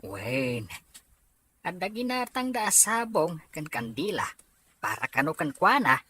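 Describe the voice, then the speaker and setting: A woman's voice: low voice, big pitch swings, unhurried, bright, forceful tone, husky, full character voice. A woman voicing an easygoing old father with a Filipino accent in an Ilocano audio drama. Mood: matter-of-fact.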